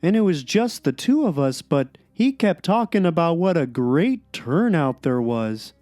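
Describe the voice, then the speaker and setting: Woman as downcast cartoon diarist, wry, resigned, expressive pitch, dry close sound, soft music behind. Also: measured pace, no room sound. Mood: resigned, glum. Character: glum, self-deprecating cartoon blogger, resigned. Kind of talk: dramatic reading of a cartoon character's blog.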